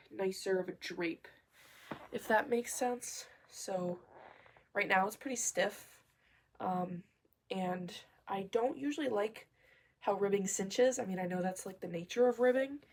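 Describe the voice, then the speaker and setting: A woman's voice: mid-range voice, darkish tone, slight background noise. Young woman vlogger, nasal from a cold, chatty and easygoing, mid-range voice. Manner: chatty, many fillers and restarts. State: congested and nasal. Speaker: crochet and knitting vlogger. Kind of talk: casual vlog chat.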